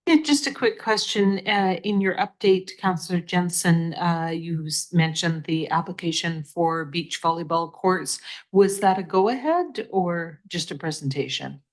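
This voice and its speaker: A woman's voice: lowish voice, a little slow. A woman city councillor who asks direct questions and comments casually during a meeting.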